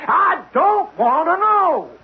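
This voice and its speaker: Casting: fearful, doom-struck laborer, high-pitched and swooping, old thin radio sound. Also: male voice, sing-song, takes it slowly, heavily acted. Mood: anguished, fatalistic. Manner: anguished, pleading. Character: frightened, fatalistic rig worker refusing to know his fate. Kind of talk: old radio drama acting. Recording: muffled, narrowband old radio recording.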